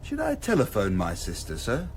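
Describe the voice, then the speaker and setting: An actor playing an eager, deferential legal assistant in a radio drama, tentative and respectful. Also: male voice, huge pitch swings, normal speed. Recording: vehicle rumble behind voice.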